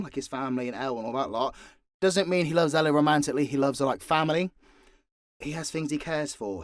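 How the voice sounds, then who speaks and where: A man's voice: mid-range voice, no echo, studio quality. A man speaking off the cuff as an audio drama creator answering listeners, blunt and sweary with a theatrically exasperated manner. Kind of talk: unscripted Q&A monologue to listeners.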